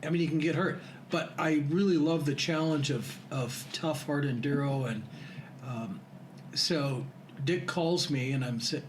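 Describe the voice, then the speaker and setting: A man's voice: on the high side, a little slow. A man in his sixties, a guest telling self-deprecating stories in a casual chat. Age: 60s. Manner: self-deprecating, laughing storyteller. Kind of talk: casual storytelling. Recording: noisy room ambience.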